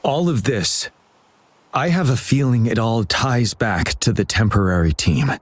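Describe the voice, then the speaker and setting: Game general, young but solemn. Low, rough-edged voice, measured and serious delivery. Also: male voice, lively intonation. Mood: grave, composed. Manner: measured, grave, formal. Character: calm, solemn young male military general. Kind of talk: scripted video game dialogue.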